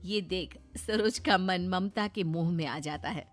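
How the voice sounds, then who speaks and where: A woman's voice: lowish voice, a little slow, soft background music. A woman narrating a scripted moral story.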